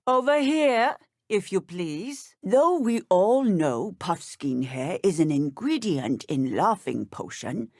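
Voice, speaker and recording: Strict lady magic teacher for a video game, precise and proper, takes her time, lively intonation. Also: medium pitch, no echo, studio quality. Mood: stern, admonishing. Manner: formal, precise, schoolteacherly. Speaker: voice actor. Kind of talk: scripted video game dialogue.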